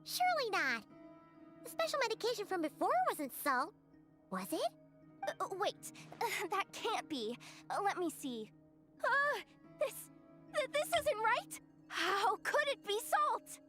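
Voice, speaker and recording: Anime game role: fretful little girl, extremely high and squeaky, bright, bouncing intonation, music beneath. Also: big pitch swings, a little slow, full character voice. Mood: flustered. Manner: squeaky, exclamatory, flustered. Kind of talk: anime-style video game voice acting.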